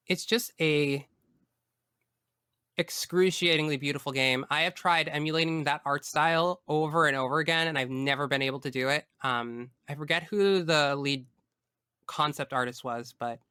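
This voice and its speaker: Male podcast guest, higher-pitched and crisp, effusive and emphatic, casual gaming chat, dry close sound. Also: pushed and bright, no echo. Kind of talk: casual enthusiastic chat about video games.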